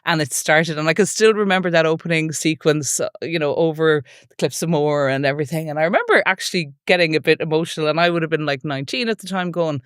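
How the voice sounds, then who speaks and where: A woman's voice: sits low, fast talker, smooth, no room sound, clean studio sound. A woman in her forties from the west of Ireland, an Irish speaker, with an Irish accent, chatting as an interview guest and telling stories full of asides. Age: 40s. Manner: chatty storytelling, many "like" and "you know" asides. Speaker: film producer, interview guest. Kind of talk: relaxed podcast interview.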